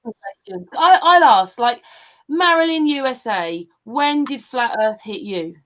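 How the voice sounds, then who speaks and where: A woman's voice: high voice, lively intonation, a little slow, a bit bright. A woman hosting a casual online chat, politely butting in with an appreciative way of talking. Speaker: host relaying chat room questions.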